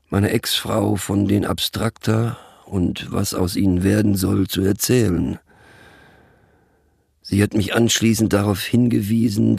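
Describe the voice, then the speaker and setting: An actor playing a wry, brooding divorced man who philosophizes aloud in a radio play, with a pensive, mocking, deliberate manner. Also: fairly low pitch, gravelly, a little mellow, slightly rough, full character voice. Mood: rueful, ironic. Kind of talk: radio play monologue, musing aloud to a listener.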